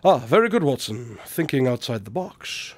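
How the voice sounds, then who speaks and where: An actor playing a shrewd, confident male detective in a radio drama, speaking in a measured, deliberate and dryly condescending way. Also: mid-range voice, sing-song, no room sound. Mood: musing.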